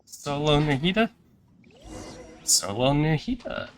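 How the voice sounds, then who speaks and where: A man's voice: a little high, sing-song, slow, a little hiss or hum. A man giving casual live game-stream reactions in short muttered asides, with playful little filler noises.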